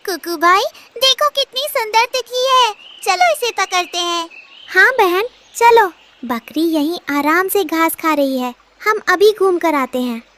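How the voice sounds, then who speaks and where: An extremely high little voice for a cartoon bird child acted by a woman. It sounds cheerful and earnest, with a childish way of talking. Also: expressive, a little slow, a bit bright, slight background noise, exaggerated voice. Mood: excited.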